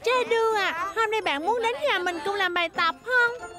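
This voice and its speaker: A woman voicing a sweet, gentle, girlish schoolgirl for a cartoon dub. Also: very high-pitched, moderate pace, music in the background. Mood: friendly.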